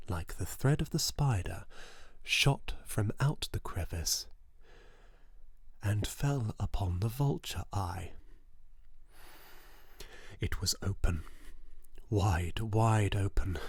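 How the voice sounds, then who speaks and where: Male reader as unhinged murderer-narrator for gothic horror tale; lowish husky voice, close dry sound, theatrical, secretive and agitated. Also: dry recording, exaggerated voice. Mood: hushed, intense. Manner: dramatic, swinging between hushed whispers and agitated outbursts. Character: unhinged, nervous murderer confessing, insisting he is sane.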